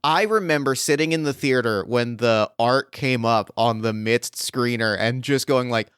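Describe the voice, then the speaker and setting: A man hosting a casual podcast chat, talking in an excitable, gushing, rambling way. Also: mid-range voice, normal speed, clean tone, no room sound, studio quality. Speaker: podcast host.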